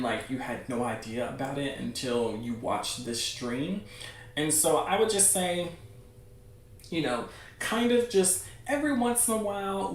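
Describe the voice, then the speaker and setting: A man's voice: on the high side, a little hiss or hum. A man hosting a livestream, chatting casually to viewers in an informal, encouraging way. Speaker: livestream host. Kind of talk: casual chat to a livestream audience. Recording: very echoey room, distant mic.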